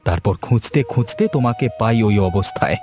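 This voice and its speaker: A man's voice: mid-range voice, huge pitch swings, fairly quick. Young cartoon villager, voiced by a man: protective, earnest, hot-headed, with music underneath. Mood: concerned, indignant. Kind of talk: amateur cartoon dubbing.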